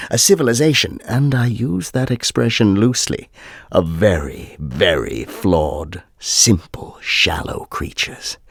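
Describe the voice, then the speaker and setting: A man playing a suave, world-weary, cynical ruler in a radio drama, speaking deliberately and condescendingly with theatrical pauses. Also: on the low side, creaky, breathy edge, no echo, clean studio sound, full character voice.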